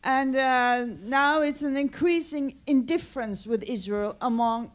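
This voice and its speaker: A woman with a fairly high voice speaks up from the audience at a public talk. She phrases her question spontaneously, halting and restarting as she searches for words. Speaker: audience member asking a question.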